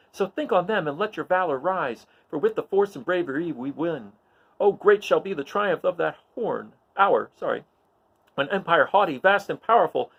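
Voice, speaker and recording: A man's voice: high voice, creaky, breathy edge, pitched above the performer's own voice, full character voice. A man voicing an earnest, fervent young rebel hero in a declamatory Shakespearean storybook reading.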